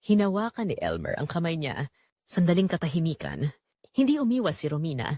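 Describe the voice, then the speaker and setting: Expressive Filipino woman narrating a drama, dry sound. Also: low-pitched, fairly quick, no room sound. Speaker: storyteller and channel narrator. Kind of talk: scripted dramatic story narration.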